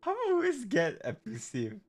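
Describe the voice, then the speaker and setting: A woman's voice: on the low side, huge pitch swings, moderate pace, a little mellow, slight background noise. A woman streamer reacting casually to a game in an exclamatory, giggly manner.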